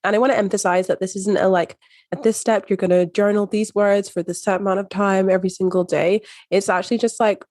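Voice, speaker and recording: A woman with a soft, hard-to-place Scottish accent who calmly explains ideas as a coach being interviewed. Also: medium pitch, no echo. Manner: calm, measured, coaching tone. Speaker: mindset coach, podcast guest.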